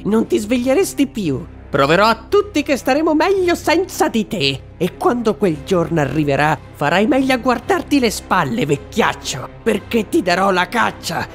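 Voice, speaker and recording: A man's voice: high voice, sing-song, moderate pace, hardly any pauses. Male dubber as solemn ancient warrior spirit, cartoon, vengeful and grave, music underneath. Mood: threatening. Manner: grave, portentous. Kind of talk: Italian cartoon fan dub.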